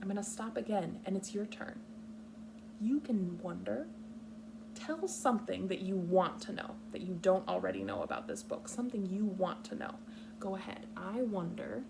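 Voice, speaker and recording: A woman leading a read-aloud lesson for young children, speaking patiently in simple, deliberate, instructional phrases. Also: medium pitch, animated, gravelly, noisy background. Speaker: kindergarten teacher reading a picture book aloud.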